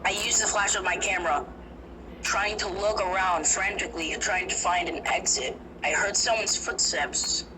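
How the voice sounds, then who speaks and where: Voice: medium pitch, steady tone, normal speed. Hesitant horror narrator, noisy recording. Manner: hesitant, with restarts.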